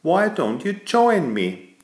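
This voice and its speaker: A man voicing a small, hospitable lady mole in a children's storybook, with a drawn-out, inviting delivery. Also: sits high, huge pitch swings, reverberant, pitched above the performer's own voice, heavily acted. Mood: friendly, inviting.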